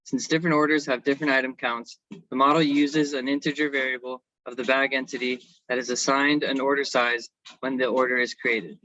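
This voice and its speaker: A man's voice: mid-range voice, fairly even intonation, fairly quick, pushed and bright, no room sound. A man giving a semi-scripted technical presentation as an engineering consultant, on a slightly dull recording.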